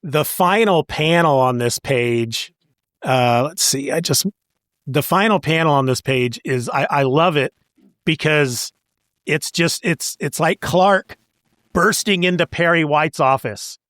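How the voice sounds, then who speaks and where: A man co-hosting a podcast, discussing comic books casually with an expressive, wry delivery. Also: on the high side, a little slow, no echo, studio quality. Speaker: podcast co-host.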